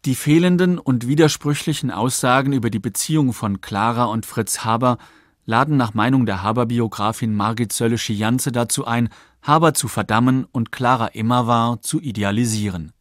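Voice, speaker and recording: A man reads scripted documentary narration with a measured, factual delivery. His pitch is middling and the studio sound is dry and close. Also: no room sound.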